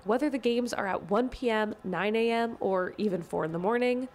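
A woman's voice: mid-range voice, moderate pace, darkish tone. A woman reporter reading scripted radio feature narration in a mid-range voice.

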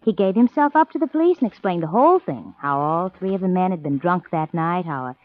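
Dry, tinny vintage radio sound, woman acting poised young lady, coy, lively intonation. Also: on the low side, a little fast, no room sound, natural voice. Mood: matter-of-fact.

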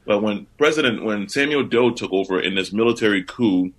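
Radio guest, man from Liberia, low voice, patient explainer who restarts his sentences. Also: a little fast. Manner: thoughtful, explanatory, with frequent restarts. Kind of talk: telling his life story in an interview.

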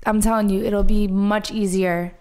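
A woman's voice: mid-range voice, steady tone, moderate pace, background noise. A woman from Philadelphia co-hosting an advice show, upbeat and encouraging with callers. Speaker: co-host.

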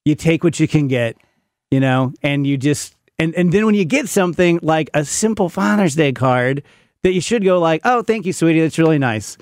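A man in his fifties talks in a candid, conversational storytelling style. His voice sits a bit high for a man and is recorded very dry. He is self-deprecating and leans on repetition for emphasis. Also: lively intonation, normal speed, dry recording. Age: 50s. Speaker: podcast guest, parent of a teenager.

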